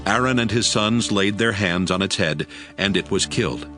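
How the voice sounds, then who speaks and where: A man reading scripted scripture narration for an audiobook in a measured, solemn way. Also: on the low side. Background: music.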